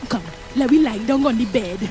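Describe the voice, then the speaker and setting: A woman acting in a radio play as an amorous, possessive older neighbour quick to scold, with a Trinidadian accent and a theatrical, coaxing manner. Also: a little high, natural voice. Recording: radio play with music behind.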